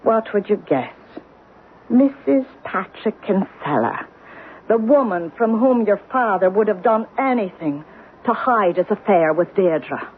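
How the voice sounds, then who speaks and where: An actress playing an older Irish woman who gravely tells family secrets in deliberate, lilting radio-drama storytelling. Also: mid-range voice, expressive, natural voice. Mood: grave, knowing. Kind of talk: old-time radio drama acting. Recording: tinny, noisy old radio broadcast.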